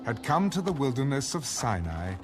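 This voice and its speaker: A man's voice: medium pitch, big pitch swings, normal speed. A man narrating scripture in a scripted audiobook style. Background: music.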